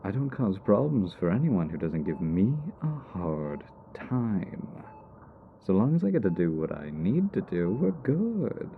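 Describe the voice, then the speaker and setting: A man's voice: sits low, big pitch swings, unhurried, vocal fry, narrow, tinny audio, slight background noise, full character voice. A man playing a cocky, teasing supervillain stuck as a hospital patient in an audio roleplay, talking with smug mock politeness. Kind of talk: ASMR roleplay audio drama.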